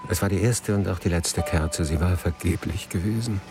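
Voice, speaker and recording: A man's voice: low voice, moderate pace, over music. An actor playing a melancholy, jealous middle-aged poet in a radio drama, brooding and literary in his phrasing. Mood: bitter, reflective. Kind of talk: radio drama narration and intimate dialogue.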